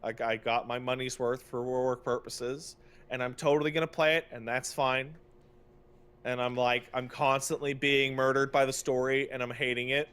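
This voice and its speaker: A man's voice: medium pitch, little pitch movement, normal speed, a bit bright, smooth. A man co-hosting a podcast, ranting casually and comedically about games, exasperated and emphatic, stretching words for effect. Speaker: podcast co-host and game streamer.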